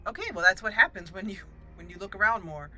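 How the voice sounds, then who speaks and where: Let's-play host: woman, chatty asides, very bright voice. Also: mid-range voice, quick pace, soft background music. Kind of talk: casual gameplay commentary.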